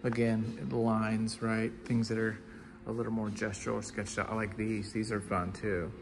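A man giving low-key, casual commentary as an artist walking through an art gallery, often trailing into murmured asides. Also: little pitch movement, normal speed, creaky, music in the background. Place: large indoor art gallery with hard floors.

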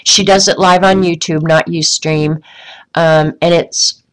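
A woman's voice: on the low side, steady tone, measured pace, smooth, low-quality recording. A woman with a stuffy, congested voice chatting casually to viewers on a live craft stream, rambling with many ums. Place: indoors at a craft desk.

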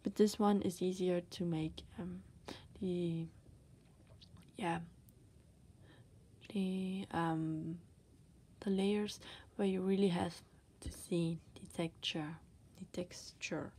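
Dutch woman, art tutor, unhurried, soft-spoken, halting word-searching delivery. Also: fairly low pitch, fairly even intonation, slightly rough. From Netherlands. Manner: hesitant, searching for words, many fillers; soft-spoken, often drops to a whisper. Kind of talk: casual drawing tutorial while working. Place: desk, indoors.

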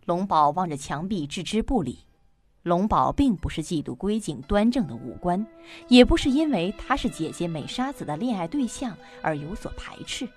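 A woman's voice: fairly low pitch, sing-song, normal speed, a little mellow, breathy edge, faint music behind. A woman reading scripted narration for a suspense audio drama.

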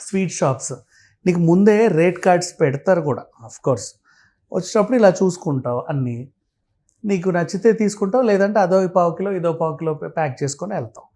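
A man's voice: high-pitched, animated, brisk, warm tone. A man with a Telugu accent talking casually and explaining things through everyday examples and lists, mixing in English words.

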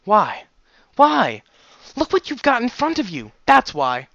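A man's voice: fairly high pitch, a little fast, pushed and bright, no echo, highs cut off, pitched above the performer's own voice. A man voicing a stern, embittered stallion father with an accusing manner in a storybook reading. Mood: furious. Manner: angry, cold, accusing.

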